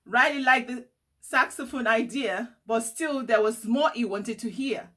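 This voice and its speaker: A woman reading a children's story aloud in an expressive, deliberate storytelling way. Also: a little high. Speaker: storybook reader.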